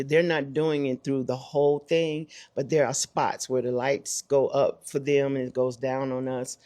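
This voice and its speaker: A woman's voice: a bass voice, dark tone. A woman answering interview questions casually, in a plain-spoken and thoughtful way. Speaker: interview guest, community theater actor. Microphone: handheld microphone.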